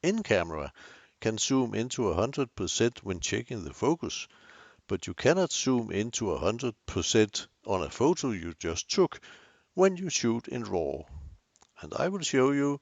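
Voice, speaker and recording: A man's voice: fairly low pitch, very animated, a little slow, no room sound. An older Danish man with a Danish accent, hosting a camera tutorial with dry, self-deprecating humor. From Denmark. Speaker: tutorial host.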